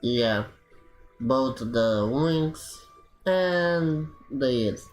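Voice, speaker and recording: A mild young male adventurer in a video game. He speaks very slowly and hesitantly in an understated, mid-pitched voice, with faint music underneath. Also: lively intonation. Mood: sympathetic. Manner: halting, understated. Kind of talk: restrained video game voice acting.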